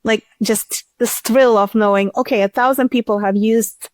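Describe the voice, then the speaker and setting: A woman's voice: fairly high pitch, fairly even intonation, normal speed, dry recording. A woman speaking as an interview guest, explaining things informally in a casual, conversational way. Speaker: podcast guest, tech startup CEO.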